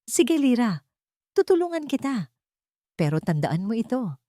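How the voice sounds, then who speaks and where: A woman's voice: on the high side, sing-song, a little slow, warm tone, no echo, pitched above the performer's own voice. A grave old craftsman, voiced by a woman in an audio drama. He is fatherly and hesitant, speaking in solemn, cautioning lines. The recording is dry. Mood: solemn warning.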